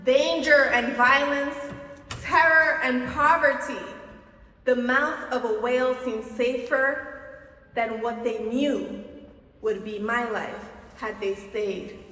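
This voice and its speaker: A woman from the Dominican Republic giving a commencement keynote, speaking slowly and deliberately with emphatic pauses. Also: on the high side, fairly bright tone. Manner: slow, deliberate, rhetorical speech with emphatic pauses. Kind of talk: commencement speech. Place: large auditorium stage. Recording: distant, echoey hall with long reverb.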